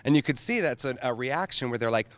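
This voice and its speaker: A man's voice: mid-range voice, animated, fast talker, no echo. A man in a casual interview, gushing and telling stories with animation. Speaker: theater director's assistant.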